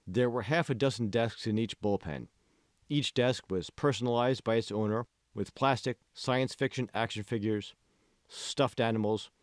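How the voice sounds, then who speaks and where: A man's voice: lowish voice, darkish tone, no room sound, studio quality. A man reading scripted audiobook narration as the author of the book.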